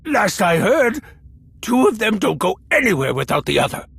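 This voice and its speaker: Jumpy eccentric hacker, game character, crackly rasp, swooping pitch, stammering, rambling. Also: male voice, big pitch swings, normal speed, fairly bright tone, dry recording, heavily acted. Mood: agitated, evasive. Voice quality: raspy, scratchy. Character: jittery, eccentric male slicer addicted to stims. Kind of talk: video game voice acting.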